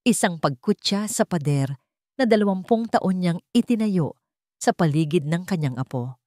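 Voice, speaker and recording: Low-pitched woman narrating dramatic fiction. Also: lively intonation, moderate pace, mellow, no echo, studio quality. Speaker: story narrator. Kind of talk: dramatic scripted romance story narration.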